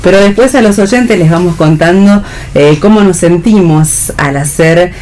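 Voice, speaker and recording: A woman with an Argentine Spanish accent hosting a radio talk, speaking off the cuff and pausing to search for words. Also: fairly low pitch, a little mellow. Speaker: radio programme host. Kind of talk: unscripted radio conversation.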